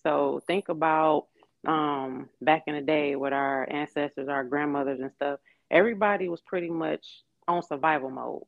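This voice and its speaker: A young woman from with a gravelly, creaky edge to her voice. She talks as a podcast guest, easygoing and reflective, and laughs often. The sound is dull and dry, with the lo-fi feel of a plain podcast recording. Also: low-pitched, steady tone, normal speed, dry recording. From Detroit, Michigan. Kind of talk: casual interview chat.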